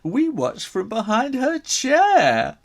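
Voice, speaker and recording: A man reading a children's story aloud as its author, theatrically, with dramatic pauses and whispery emphasis. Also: very high-pitched, wildly swooping pitch.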